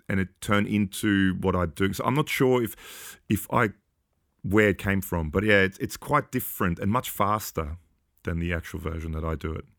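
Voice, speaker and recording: Low voiced guy rambling about tracks, lots of ums, dry close sound. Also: vocal fry, a bit bright, no room sound. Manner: rambling, hesitant, laughs often. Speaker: podcast guest. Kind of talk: casual music discussion.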